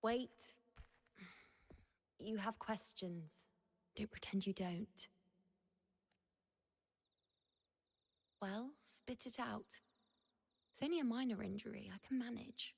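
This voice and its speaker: A proud, self-reliant young heroine from a video game. She is injured but stubborn, and her voice is husky and frayed with fry. It rings in a long reverberant space. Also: fairly high pitch, measured pace, pauses often, full character voice. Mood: teasing, strained. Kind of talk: dramatic video game voice acting.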